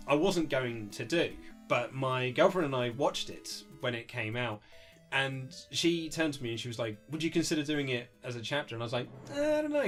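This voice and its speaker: A man's voice: medium pitch, fairly bright tone. A man being interviewed as an author, chatting casually in an anecdotal way, acting out quotes and swearing mildly. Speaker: interview guest, author and writer. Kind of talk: casual animated interview chat. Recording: lo-fi amateur setup, music underneath.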